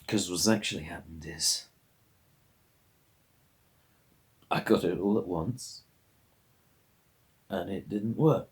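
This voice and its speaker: An actor playing a melancholy colourblind man, delivering an intimate, halting and understated dramatic monologue. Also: deep voice. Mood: bitter, resigned. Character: melancholy colourblind man losing his regained colour vision.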